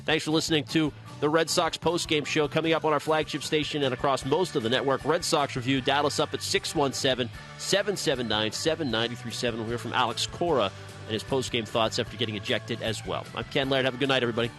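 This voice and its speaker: Man, medium pitch, sports postgame host, live radio recap, faint music behind. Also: steady tone, fast talker, fairly bright tone. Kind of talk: live sports broadcast recap with sponsor reads. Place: ballpark broadcast booth.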